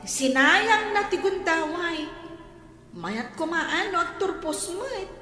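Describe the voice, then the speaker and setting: A woman's voice: very high-pitched, animated, slow pace, sharp tone, exaggerated voice. An actress playing a chatty village woman fussing over family matters in an Ilocano audio drama. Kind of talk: Ilocano radio drama acting. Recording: echoey hall-like reverb.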